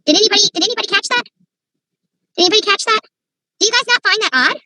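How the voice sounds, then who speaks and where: Woman, high voice, phone livestream, rambling opinionated commentary, indignant questions. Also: racing, noisy background. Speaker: online commentator.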